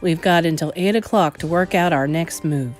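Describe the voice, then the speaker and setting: A woman doing a low-voiced, loving old grandmother. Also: moderate pace, faint music behind, natural voice. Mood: brisk. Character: loving, fierce elderly grandmother.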